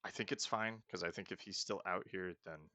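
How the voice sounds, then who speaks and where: A man's voice: low voice, moderate pace, sharp tone, dry recording. A man casually talking through a roleplay video game as the host, thinking aloud and often restarting his sentences. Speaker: let's play host. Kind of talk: casual gameplay commentary.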